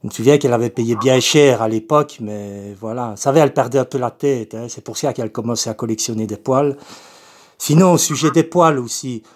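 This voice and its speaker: A man's voice: mid-range voice, a little fast, a little mellow, natural voice. A man voicing a confused, polite eighty-year-old woman with a Belgian French accent, hesitant and repetitive, as in a prank phone call. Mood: rambling, earnest.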